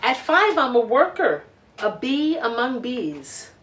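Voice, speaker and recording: Middle-aged lady doing storytime for kids, reads slow and expressive, bit far from the mic in an echoey room. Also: sits high, slight background noise. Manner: expressive, deliberate read-aloud with emphasized words. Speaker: children's storytime reader. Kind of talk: reading a picture book aloud to children. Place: living room couch, indoors. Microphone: camera a few feet away. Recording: roomy home recording, distant microphone.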